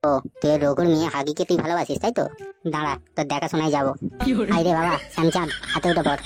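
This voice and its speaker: A man's voice: a little high, fairly even intonation, very fast, over music, natural voice. A man voicing a short-tempered, scolding village father with a crude manner in a comic cartoon. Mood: threatening.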